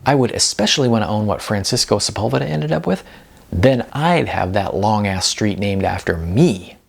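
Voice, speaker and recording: A man voicing an excitable, inquisitive younger slacker in an audiobook, slangy and full of incredulous questions. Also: lowish voice, moderate pace, vocal fry, breathy edge, heavily acted. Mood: amazed. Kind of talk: audiobook character dialogue.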